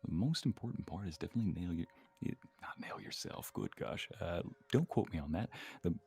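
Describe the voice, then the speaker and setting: Deep, creaky-voiced man, playfully chatting. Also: animated, a little fast, a little mellow. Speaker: guest narrator and co-host on an ASMR livestream. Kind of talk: casual ASMR livestream chat.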